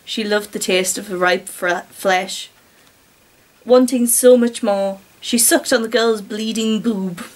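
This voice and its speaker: A woman's voice: mid-range voice, steady tone, slow. A British woman reading a story aloud with giggly, incredulous, sarcastic asides. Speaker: reader and commentator.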